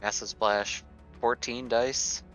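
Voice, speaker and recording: A man's voice: mid-range voice, fairly even intonation, slow pace, a bit bright, soft background music. A man talking casually as a player in a tabletop roleplaying game.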